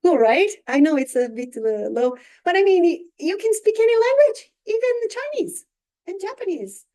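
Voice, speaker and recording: A woman with a Brazilian accent leads a training session in a high, light voice. She stops often, fills gaps with small hesitations and searches for the right English word. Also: animated, mellow. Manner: halting, searches for words with many fillers. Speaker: real estate marketing trainer. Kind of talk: live training presentation.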